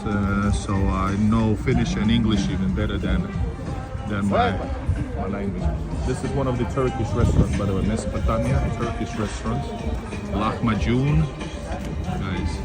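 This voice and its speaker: A man from Latvia who speaks Russian as his first language, chatting casually to viewers while walking outdoors on a city street. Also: on the low side. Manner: chatty, rambling, addresses viewers as guys. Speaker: travel vlogger. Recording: loud street noise behind.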